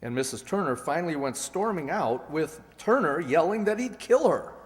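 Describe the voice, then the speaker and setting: A man's voice: a little high, animated, normal speed, close to an everyday voice. A man playing a polite, earnest husband and amateur sleuth, matter-of-fact, in a radio mystery play. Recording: reverberant hall sound.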